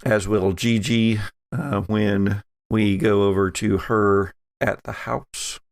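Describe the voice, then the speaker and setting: Male American game master for a tabletop game: low, creaky delivery, closely recorded and dry. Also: flat delivery, slow pace, no echo. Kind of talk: running a tabletop roleplaying game.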